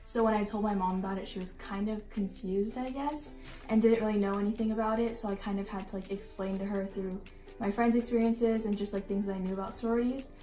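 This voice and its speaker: A woman's voice: medium pitch, fairly even intonation, quick pace, warm tone. A nineteen-year-old woman giving casual, conversational interview answers, often padding them with "like" and "kind of". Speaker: college student, sorority member. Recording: echoey, distant mic, music underneath.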